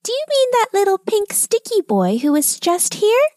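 A woman voicing a small, squeaky talking bird in a children's story, blunt and ominous. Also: wildly swooping pitch, normal speed, darkish tone, dry recording, studio quality, full character voice. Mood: chirpy, curious. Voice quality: tiny, squeaky.

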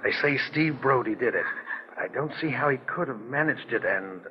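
A man's voice: medium pitch, lively intonation, moderate pace, slight background noise, close to an everyday voice. A man playing a menacing, mad killer who coldly taunts his victim in a 1940s radio drama. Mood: cold menace. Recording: dull lo-fi 1940s radio recording.

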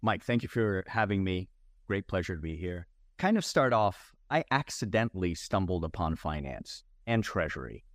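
A man's voice: deep voice, very animated, moderate pace, no echo, clean studio sound. A man around forty, from Turkey with an American accent, telling his career story conversationally as an interview guest. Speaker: podcast guest, finance executive.

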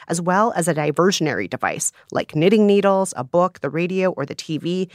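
Woman podcast co-host, slightly low voice, playful and bubbly, dry close mic. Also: dry recording. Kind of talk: casual chat and reading steps aloud.

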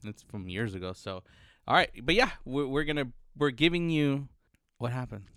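A man's voice: medium pitch, animated, normal speed, fairly bright tone, clean tone, no echo, studio quality. A man in his thirties hosting a podcast, rambling in casual chat and laughing often, with a slight cough. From Chicano, United States. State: slight cough, deeper voice than usual. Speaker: podcast host.

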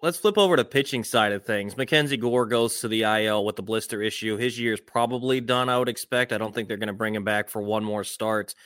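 A man's voice: lowish voice, fairly quick, hardly any pauses, fairly bright tone, clear, resonant tone, no echo, studio quality. An American man co-hosting a sports podcast, putting questions in a conversational style.

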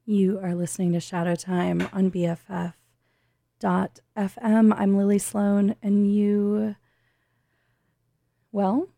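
A woman hosting a radio show in a casual, reflective way, often halting as she searches for words. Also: medium pitch, level tone, creaky, dark tone, dry recording.

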